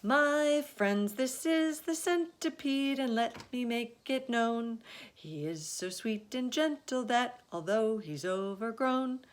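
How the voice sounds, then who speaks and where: A woman's voice: on the high side, animated, takes it slowly, voice pushed up. A woman voicing a cheerful, polite young boy who speaks eagerly in sing-song rhymes. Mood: proud.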